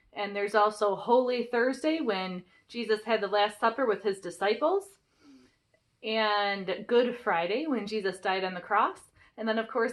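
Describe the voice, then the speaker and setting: A woman presenting an informal church message to children, encouraging them and explaining things simply. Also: medium pitch. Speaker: children's ministry presenter and puppeteer.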